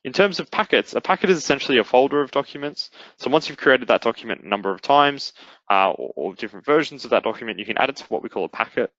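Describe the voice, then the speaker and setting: A man presenting a software product in a webinar, explaining it step by step in an instructional way. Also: mid-range voice, lively intonation, quick pace, no room sound, highs cut off. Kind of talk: explanatory webinar demo narration.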